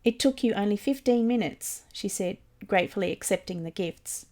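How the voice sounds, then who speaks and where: Mellow-voiced woman reading a story. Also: mid-range voice, normal speed. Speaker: volunteer reader of mission stories. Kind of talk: scripted story narration.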